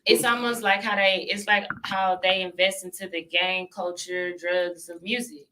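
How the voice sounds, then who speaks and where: A woman's voice: lowish voice, flat delivery, moderate pace, bright, forceful tone, slight background noise, low-quality recording. A woman in her twenties, talking earnestly and thoughtfully as a regular on a casual talk show. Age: 20s. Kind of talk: casual podcast chat.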